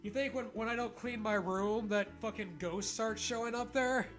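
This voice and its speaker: A man's voice: high-pitched, moderate pace, a bit bright, faint music behind. A man co-hosting a comic read-aloud of fan fiction, breaking into sarcastic, sweary casual banter. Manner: sarcastic, profane riffing.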